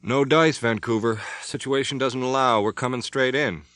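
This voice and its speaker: A man voicing a determined, shaken pilot who speaks deliberately. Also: mid-range voice, a bit bright, dry recording, clean studio sound, pitched above the performer's own voice, natural voice. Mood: stubborn. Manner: deliberate, stubborn.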